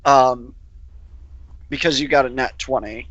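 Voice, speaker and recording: A man's voice: medium pitch, fairly even intonation, a little slow, a bit bright, highs cut off. A man casually advising on rules during a tabletop roleplaying game.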